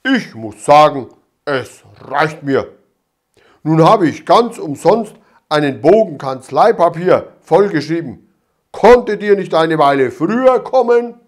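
A man voicing a pompous, hot-tempered village policeman who blusters and shouts officiously. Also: on the high side, lively intonation, slow pace. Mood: indignant.

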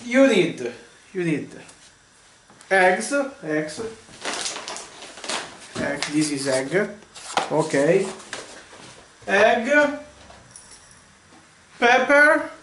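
A man in his thirties hosting a home cooking lesson, halting and searching for English words. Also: sits high, sing-song, fairly bright tone. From Vietnam. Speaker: cooking channel host. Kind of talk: casual cooking demonstration. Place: home kitchen, indoors. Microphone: camera mic, often at arm's length or across the room. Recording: echoey kitchen with faint noise.

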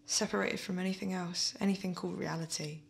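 A haunted, gravelly grieving daughter. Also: female voice, fairly low pitch, steady tone. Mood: serene, obsessive. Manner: halting, intense, dwelling on repeated words. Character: haunted, obsessively loving grieving daughter, unsettlingly serene. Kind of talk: dramatic monologue, audio play.

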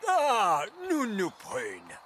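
A man's voice: high-pitched, wildly swooping pitch, extremely slow, a bit bright, a little hiss or hum, full character voice. A man playing a wise, fussy old elf who explains things emphatically in a cartoon. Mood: courteous, firm. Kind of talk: animated cartoon dialogue in French dub.

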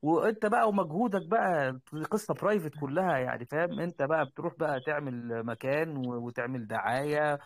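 A man's voice: on the high side, fast talker, hardly any pauses, no room sound. A man with an Egyptian Arabic accent giving casual advice on a group voice call, rambling and repeating his words. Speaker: host, doctor advising junior colleagues. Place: online voice call.

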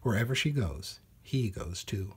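A man with a husky voice reading a children's nature storybook aloud in measured, deliberate phrases. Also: lowish voice, animated. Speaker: storybook reader. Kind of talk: read-aloud children's nonfiction storybook narration.

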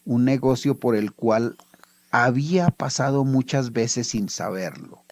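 A man with a Colombian accent reads literary fiction as its author. His pitch sits in a medium male range. The recording is dry, with very little room sound. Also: a little slow, dry recording. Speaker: writer reading his own short story. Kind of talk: literary story reading.

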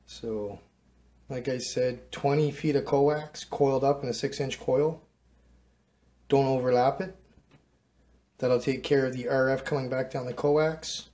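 A man's voice: mid-range voice, a little mellow. A man talks in a mid-range voice. He sounds like a hobbyist giving an informal how-to, plain-spoken and offhand, speaking from behind a handheld camera. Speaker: amateur radio hobbyist presenting a how-to video. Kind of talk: casual hands-on demonstration. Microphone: handheld camera mic, operator behind camera.

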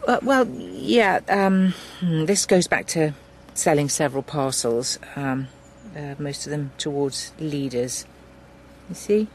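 An actor playing a hesitant, conciliatory man with an English accent in a naturalistic radio drama, halting and tentative. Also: fairly high pitch, a little hiss or hum. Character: hesitant, conciliatory farming son negotiating with his sister.

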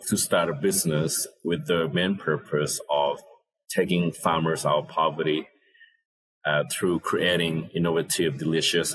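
Low, husky Thai-accented man. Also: fairly bright tone, rough recording. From Thailand. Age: 30s. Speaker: interview guest, social entrepreneur. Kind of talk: conversational interview answers. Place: indoor room, on a video call. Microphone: earphone headset.

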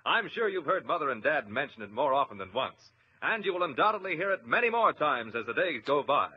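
Old timey radio man lecturing kids kindly, lively highish voice, muffled n a bit noisy. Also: a little fast. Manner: avuncular, instructive. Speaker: radio announcer. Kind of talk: vintage scripted public service address to children.

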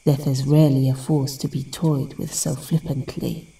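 A woman playing a wise, solemn temple guardian in an audio drama, with a measured, grave and formal manner. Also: a bass voice, flat delivery, creaky, warm tone, far from the mic, low-quality recording.

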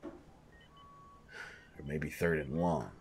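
A man's voice: very deep voice, wildly swooping pitch, drawn-out words, a little hiss or hum, heavily acted. A man playing a grumpy, irritable coach who grumbles and complains defensively in an audio drama. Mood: uneasy. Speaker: voice actor.

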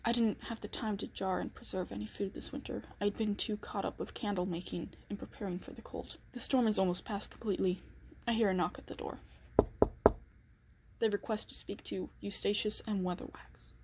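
A woman playing an anxious, secretive killer in a dramatic first-person period monologue, with a husky voice. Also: medium pitch, steady tone, fairly quick, darkish tone, slight background noise, close to an everyday voice. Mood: brooding, then guarded. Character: anxious, secretive 1912 killer snowed in at home.

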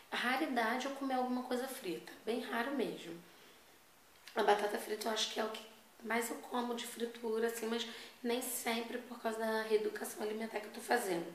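A woman's voice: a little high, steady tone, normal speed. A Brazilian woman in her thirties with a Rio de Janeiro accent, chatting casually and emphatically to the camera as a vlogger. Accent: Rio de Janeiro (carioca) Brazilian Portuguese. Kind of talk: casual personal chat to camera. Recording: echoey room, faint background noise.

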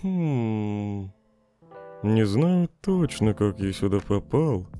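A man voicing a laid-back, smiling skeleton joker in a comic dub, unhurried, wry and teasing. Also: fairly low pitch, very animated, dark tone, faint music behind, full character voice. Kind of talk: fan comic dub acting.

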